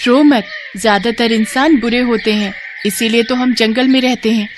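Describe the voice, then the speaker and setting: Woman acting a gentle, kindly forest bird. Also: fairly high pitch, fairly even intonation, moderate pace, background noise, voice pushed up, lightly acted. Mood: reassuring. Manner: gentle, reassuring.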